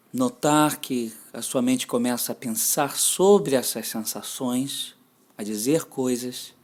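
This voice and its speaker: A man with a Brazilian Portuguese accent guiding a mindfulness meditation, giving gentle, deliberate instructions with long pauses. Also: medium pitch.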